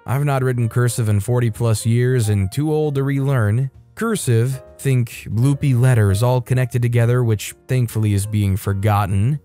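A man reading out online stories as a narrator, in a steady, matter-of-fact way with light asides of his own. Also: mid-range voice, normal speed, few pauses. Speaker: story narrator. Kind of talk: scripted narration of reader stories. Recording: faint background music.

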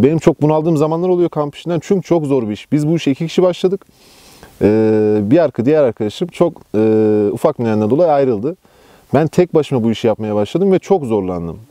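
A man's voice: a little high, mellow, no room sound. A young man explaining his camping group in a casual, earnest interview as its organizer. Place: outdoors at a campsite.

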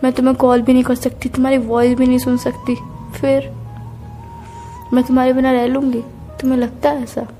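A woman's voice: high-pitched, completely flat delivery, a little fast, long pauses, warm tone. A young woman speaking plaintively in an emotional private phone conversation. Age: young adult. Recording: phone call recording with music. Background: music.

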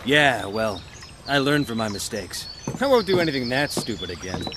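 A man's voice: medium pitch, very animated, moderate pace, noisy background, lightly acted. A man voicing an eager, cheeky young policeman with an American accent in a video game. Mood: breezy, earnest. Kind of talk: animated video game character acting. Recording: loud music behind.

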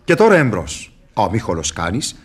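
An actor playing a sly, mocking devil tempter in a theatrical radio verse drama. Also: male voice, on the low side, wildly swooping pitch, husky, soft background music, exaggerated voice. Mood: sly, persuasive.

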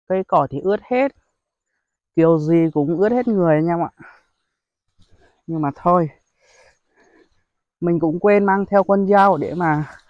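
A man's voice: sits high, darkish tone, no echo, highs cut off. Chatty young guy, phone vlog. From Vietnam. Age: young adult. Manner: chatty, addresses viewers as brothers, frequent exclamations. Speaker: vlogger. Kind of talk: casual outdoor vlog. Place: outdoors among rice paddies and hills. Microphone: phone held at arm's length.

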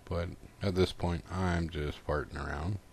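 Really low deep guy voice walking thru a repair, laid out step by step, folksy, bit of noise behind him. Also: steady tone, a little slow. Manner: informal, folksy, unscripted. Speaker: host of a repair tutorial. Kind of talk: casual step-by-step how-to narration.

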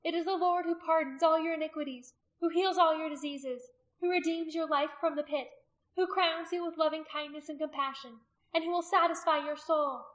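A woman reader plays a fervent woman believer in a dramatic storybook reading. She proclaims her faith in a raised, high, bright voice, earnest and fearless. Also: fairly even intonation, normal speed. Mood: bold proclaiming. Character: devout, brave woman believer pleading and preaching.

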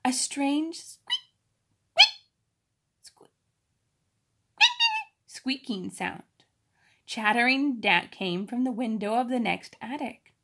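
A woman reads a children's story aloud with expressive emphasis, pausing to explain it informally. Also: on the high side, breathy edge. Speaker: storybook reader.

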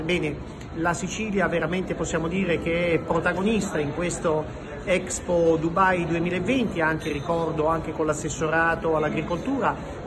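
Higher-pitched Italian man over busy venue noise. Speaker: regional government representative. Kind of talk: formal promotional statement to camera. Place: large indoor exhibition pavilion. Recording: noisy public exhibition hall ambience.

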